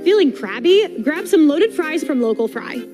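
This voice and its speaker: A woman narrates a scripted promo in a high voice. Her read is upbeat, with music clearly playing underneath. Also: sing-song, normal speed. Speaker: narrator of ballpark guide.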